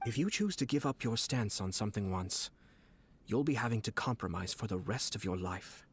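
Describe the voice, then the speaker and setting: A man's voice: lowish voice, animated, moderate pace, breathy edge. An actor playing a shrewd young nobleman in a video game, composed, formal and courteous. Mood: sincere, composed. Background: game music.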